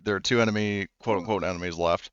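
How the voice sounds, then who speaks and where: A man running a tabletop game as game master, talking haltingly with many fillers. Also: low voice, fairly even intonation, clean tone, no echo, highs cut off.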